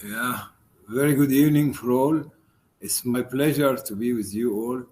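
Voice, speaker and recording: A man greeting colleagues in an online meeting in a halting, courteous manner. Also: mid-range voice, darkish tone.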